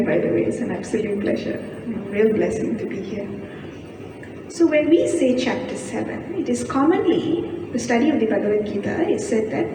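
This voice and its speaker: Soft-spoken woman, slightly high voice, scripture commentator, heard from a distance in a reverberant hall with steady room noise. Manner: measured, explanatory, poses questions then answers them. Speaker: guest speaker giving scripture commentary. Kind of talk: spiritual discourse on scripture.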